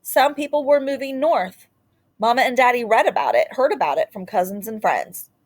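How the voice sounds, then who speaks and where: A woman storyteller reading a picture book aloud expressively, in short deliberate phrases with dramatic pauses and the odd aside. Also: on the high side, expressive.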